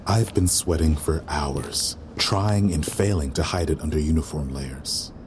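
A man's voice: very deep voice, unhurried, a little mellow, a little hiss or hum. A man playing a weary, resentful waiter who narrates an audio drama in a dry, sardonic inner monologue. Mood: brooding, calming. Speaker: lead actor and narrator.

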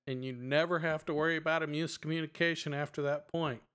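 A man's voice: on the high side, quick pace, smooth. A middle-aged man with a strong voice, co-hosting a podcast and chatting casually with lots of fillers. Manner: animated storytelling, lots of fillers. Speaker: podcast co-host. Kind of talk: casual chat.

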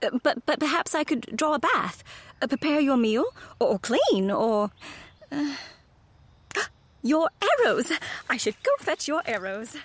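A woman's voice: sits high, animated, unhurried, dry recording. A woman playing a deferential, easily flustered lady's maid in an audio drama, hesitant and eager to please.

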